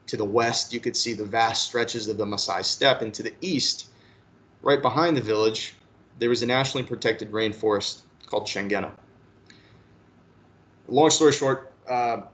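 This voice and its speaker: A man's voice: medium pitch, a bit bright. A man in his thirties giving an earnest, prepared talk on a webinar panel, often restarting his phrases as he speaks. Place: webinar call.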